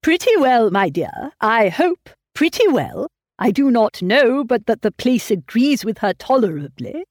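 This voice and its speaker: Fretful elderly gentleman, mournful and fussy, read by a woman, dry close sound. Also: a little high, sing-song, measured pace, no room sound, pitched above the performer's own voice. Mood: hesitant.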